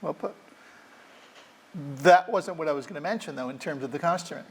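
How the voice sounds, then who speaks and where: Higher-pitched guy with a gravelly voice, chatty moderator, keeps repeating words. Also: brisk, poor audio. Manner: talkative, repeats words, leads questions. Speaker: curator and moderator. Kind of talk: onstage interview. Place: auditorium.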